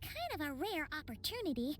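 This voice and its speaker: Super high squeaky little fairy girl, excitable anime game sidekick, really animated. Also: a little fast, slight background noise. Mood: chatty, musing. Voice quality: squeaky, childlike. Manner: chirpy, exclamatory, talks in third person. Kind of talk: anime video game voice acting. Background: game music.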